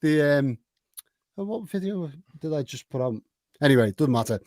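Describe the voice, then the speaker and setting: A man's voice: on the high side, animated, normal speed, darkish tone, no echo, studio quality. A man of forty hosting a podcast, chatting enthusiastically and laughing easily. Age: 40s. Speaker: podcast host. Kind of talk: casual podcast chat.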